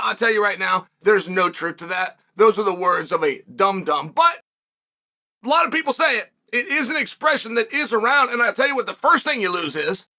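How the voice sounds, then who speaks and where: A man's voice: sits high, pushed and bright. A man hosting a podcast, giving casual fight commentary in a brash, emphatic way and repeating his points for effect. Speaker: podcast host and MMA commentator.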